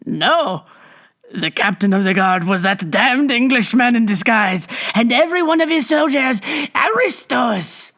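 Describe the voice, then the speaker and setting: A woman voicing a boastful, gruff male gate guard of the French Revolution in a storybook reading. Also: a little high, lively intonation, measured pace, a bit bright, pitched above the performer's own voice. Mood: amused, boastful. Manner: gruff, blustering.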